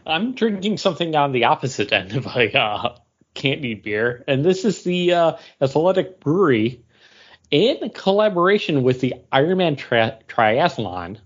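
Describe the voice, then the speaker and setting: A man co-hosting a podcast, talking casually in a hesitant, halting way. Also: a little high, sing-song, husky, dull audio. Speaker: podcast co-host. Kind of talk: casual drink review.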